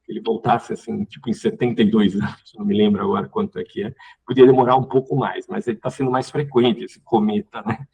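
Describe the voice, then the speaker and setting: A man's voice: fairly low pitch, fairly even intonation, fast talker, vocal fry, darkish tone, a little hiss or hum, poor audio. A Brazilian man giving unscripted political commentary as a panel guest, often repeating words as he searches for phrasing. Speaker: panel guest, community representative. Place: online live call.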